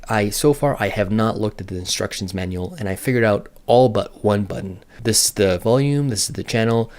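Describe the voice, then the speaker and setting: A man's voice: lowish voice, a little mellow. A man giving a casual, unscripted product demonstration, thinking aloud in a matter-of-fact way as a reviewer.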